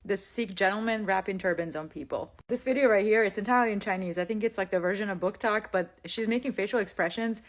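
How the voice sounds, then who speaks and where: A woman's voice: mid-range voice, fast talker, few pauses. A woman in a social media clip, chatty and amused, walking through her app feed. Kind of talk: casual app walkthrough.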